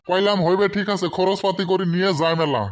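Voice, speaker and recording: A man's voice: high voice, fairly even intonation, fast, close to an everyday voice. A man voicing an older, miserly village father-in-law in a comic cartoon. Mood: grudging.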